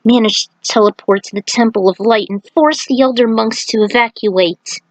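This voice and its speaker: Higher-pitched woman narrating an action story. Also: moderate pace, no echo, low-quality recording. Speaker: narrator reading fan fiction aloud. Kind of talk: dramatic scripted narration.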